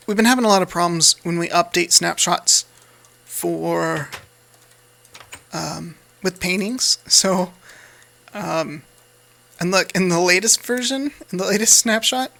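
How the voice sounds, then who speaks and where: A man talks casually over his gameplay, wandering through asides and correcting himself mid-thought. His voice is going hoarse and carries a lot of gravelly fry, with a bright, forward tone. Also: sits high, slow, pauses often. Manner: rambling, self-correcting, light asides. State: losing his voice. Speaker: gaming channel host.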